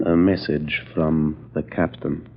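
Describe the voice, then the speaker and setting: Deep-voiced, oily foreign smuggler. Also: male voice, level tone, slow, full character voice. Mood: conspiratorial. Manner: smug, conspiratorial. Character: foreign male smuggler henchman, oily and scheming. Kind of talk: 1940s radio acting. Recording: dull, faintly noisy old radio recording.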